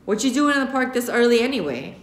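A woman's voice: a little high, big pitch swings, fast talker, pitched above the performer's own voice. A woman voicing a protective, defiant teenage brother with curt, plain-spoken delivery in a story reading. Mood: friendly. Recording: echoey classroom.

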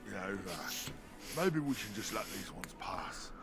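Dopey thug sidekick for fantasy game: halting, slow delivery with a creaky edge. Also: male voice, fairly low pitch, animated, over music. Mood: uneasy. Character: slow-witted, hesitant bandit henchman.

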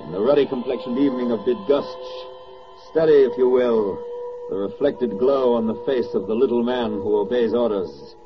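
An actor as a grave, sardonic narrator of a wartime 1940s radio drama, declaiming in a rhetorical style. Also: male voice, mid-range voice, moderate pace, close to an everyday voice. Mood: grim, ironic. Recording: dull 1940s radio record with music.

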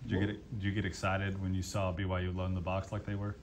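A man's voice: sits low, completely flat delivery, fast, slight background noise. A man asking direct questions as a reporter at a press conference.

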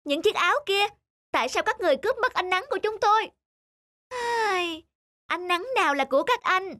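A woman voicing a squabbling, indignant talking household object in an animated moral tale. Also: extremely high pitch, moderate pace, no echo, clean studio sound, full character voice. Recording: music behind the voice.

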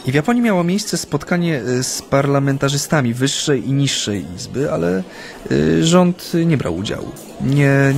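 An actor in a radio play playing a former union activist who recounts his memories in a hesitant, halting way. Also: mid-range voice, gravelly, a little mellow. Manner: hesitant, halting, frequent drawn-out hums. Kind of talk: radio play acting, interview-like recollection.

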